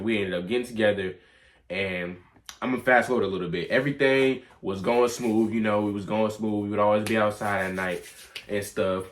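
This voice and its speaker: A man's voice: on the low side, a bit bright. A young adult man telling a personal story in a casual, rambling, slangy way. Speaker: vlog storyteller.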